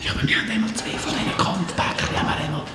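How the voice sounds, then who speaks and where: A man's voice: high voice, rapid-fire, close to an everyday voice. A man playing an eager, bold adventurer leading the way in a Swiss German radio play. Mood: eager, urgent. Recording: echoey, loud music underneath.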